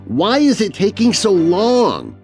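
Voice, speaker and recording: A man voicing an excitable, demanding boy in a children's spooky story, whiny and insistent. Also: sits high, takes it slowly, soft background music, voice pushed up, exaggerated voice. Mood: frustrated. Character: excitable, demanding boy obsessed with Thanksgiving turkey.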